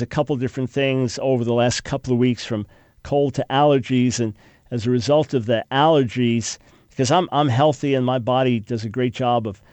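A man's voice: mid-range voice, a little mellow, no room sound. Congested older man, emphatic radio host. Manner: emphatic, argumentative, frequent restarts. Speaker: radio talk show host. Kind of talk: call-in talk radio.

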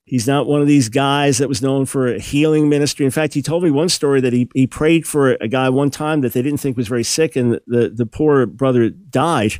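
A man's voice: medium pitch, darkish tone, dry recording. Male talk radio host, studio, dry close sound, friendly interviewer tone. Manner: friendly, quick follow-up questions.

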